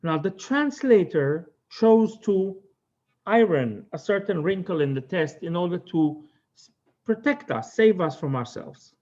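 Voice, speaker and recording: A high-pitched man with an Israeli accent leads a study session, speaking earnestly and thoughtfully and pausing often. The recording sounds dull and lo-fi. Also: a little mellow. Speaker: teacher. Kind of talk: text study lecture.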